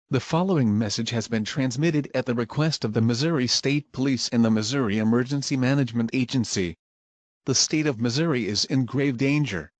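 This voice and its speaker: A man voicing a grave, impersonal emergency alert announcer, reading a scripted warning in a formal, measured way. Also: mid-range voice, dry recording, natural voice.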